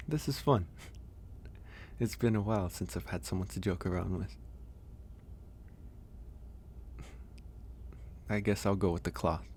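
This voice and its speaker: Guy playing a soft-spoken teasing werewolf for asmr, really low and gravelly, bit of background noise. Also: fairly quick. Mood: playful teasing. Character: gentle, teasing male werewolf caring for a guest. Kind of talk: intimate ASMR audio roleplay.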